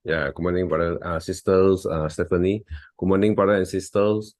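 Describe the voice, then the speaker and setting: A man's voice: low-pitched, level tone, a little fast, a little mellow, dry recording. A man with a Malaysian English accent, not a native English speaker, giving a hesitant volunteer talk with many fillers and restarts over a video call.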